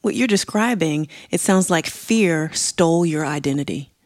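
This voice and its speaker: A woman hosting an interview, responding to her guest as a gentle, affirming listener. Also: low voice, animated, moderate pace, dry recording, clean studio sound. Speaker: host. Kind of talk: interview conversation.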